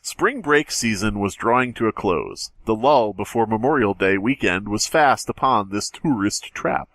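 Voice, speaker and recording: A man's voice: fairly low pitch, expressive, moderate pace, a bit bright, no echo. A man co-hosting a comedy podcast, jokey and sweary, bantering casually and reading a story aloud. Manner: jokey, puts on silly voices, swears. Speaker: podcast co-host.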